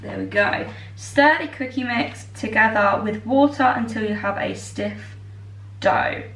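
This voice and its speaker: A young woman in her twenties, tired and a little croaky, chatting in a bubbly way to her camera while she bakes in her home kitchen. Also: on the high side, a little slow, a bit bright. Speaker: vlogger. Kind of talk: casual chat. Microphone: far camera. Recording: echoey kitchen from far off.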